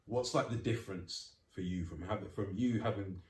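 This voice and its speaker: Fairly low man asking rambling questions. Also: moderate pace, a little mellow, far from the mic, slight background noise. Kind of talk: casual group chat. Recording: roomy and off-mic.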